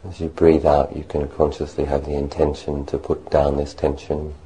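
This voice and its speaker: A man leading a guided meditation as a monk, speaking gently and unhurriedly in short phrases with long pauses. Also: rumbling low, completely flat delivery, poor audio.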